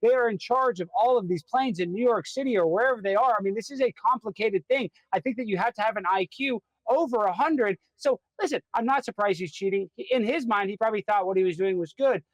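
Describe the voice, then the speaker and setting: A man's voice: high-pitched, fast talker, few pauses, dry recording, poor audio. A man giving frank, emphatic political opinions as a guest in a conversational panel style.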